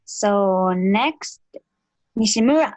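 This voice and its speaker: A young woman facilitating a student panel talk in English, with lots of soft murmurs of agreement. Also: mid-range voice, animated, slow, background noise. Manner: frequent soft backchannel murmurs and laughs.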